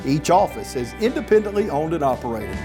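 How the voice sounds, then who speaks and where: Lively, higher-pitched male commercial announcer. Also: fairly quick, vocal fry. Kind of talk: scripted local advertisement voice-over. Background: music.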